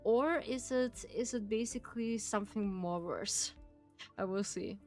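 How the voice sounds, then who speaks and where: A woman's voice: mid-range voice, measured pace, a little mellow, faint music behind. A woman with a German accent, a native German speaker, streaming and reading a game aloud in careful phrasing with frequent laughs.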